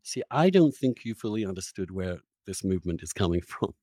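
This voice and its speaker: Guy interviewer debating hard, pushy, pitch swings around a lot, dry close recording. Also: sing-song, a little fast, mellow, dry recording. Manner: combative, insistent, interrupting. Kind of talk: argumentative interview conversation.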